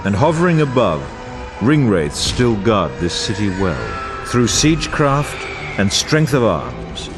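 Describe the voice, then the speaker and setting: Low, solemn epic war chronicler. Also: male voice, wildly swooping pitch, takes it slowly, full character voice. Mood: solemn, foreboding. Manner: grandiose, portentous, formal delivery. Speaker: narrator. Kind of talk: scripted dramatic fantasy game narration. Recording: orchestral music bed under voice. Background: music.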